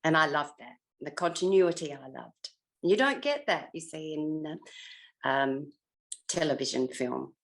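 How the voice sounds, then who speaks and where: Woman, sixties-plus, slightly low register. Retired actress interviewee, reflective and conversational, musing as she goes. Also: expressive, measured pace, slight background noise. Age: 60s or older. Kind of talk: reflective casual interview chat.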